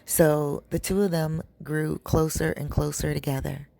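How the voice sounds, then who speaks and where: A woman with a raspy, dry-throated voice, chatting to her viewers as she tells a long story. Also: low voice, little pitch movement, unhurried, warm tone, no room sound. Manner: chatty, addresses viewers directly. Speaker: storytelling vlogger. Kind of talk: casual storytelling. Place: home in a Caribbean town.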